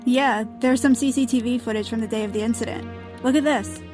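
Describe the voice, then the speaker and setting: Higher-voiced actress as a frank young-partner agent. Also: female voice, fairly even intonation, brisk, over music, natural voice. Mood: concerned. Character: inquisitive female field agent, frank junior partner. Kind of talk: audio drama acting.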